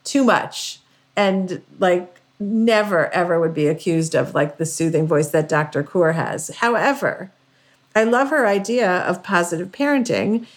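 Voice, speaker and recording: A woman's voice: fairly low pitch, expressive, a little slow. Casual middle-aged American woman podcast host. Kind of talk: casual conversational chat.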